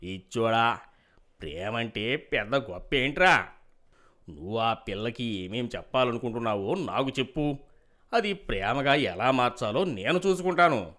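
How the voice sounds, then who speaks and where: A man's voice: mid-range voice, animated, normal speed, a bit bright, lightly acted. A man playing a scheming older village headman in a moral story, coaxing and patronizing in rustic speech. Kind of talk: moral story character dialogue.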